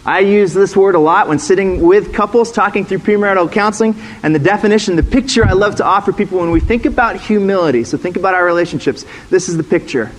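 Slightly high-voiced man preaching conversationally. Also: fast, few pauses, rough recording. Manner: conversational teaching, asks the room questions. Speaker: former pastor, guest speaker. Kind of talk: sermon.

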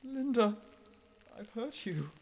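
A man playing a desperate, anguished husband in a melodramatic horror radio play, halting, breathy and overwrought. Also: very high-pitched, lively intonation, a little mellow, heavily acted. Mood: anguished remorse. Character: anguished, desperate husband turning on his cat-like wife.

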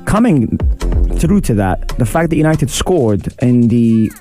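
Husky-voiced man hosting a radio football show. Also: medium pitch, big pitch swings, a little slow, faint music behind. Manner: chatty, shout-outs to listeners. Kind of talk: casual football analysis. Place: radio studio.

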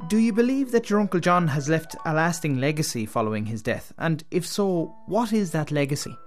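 An Irish man hosting a radio programme, reading scripted links in a formal, deliberate manner. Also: fairly high pitch, very animated, faint music behind. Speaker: radio show host.

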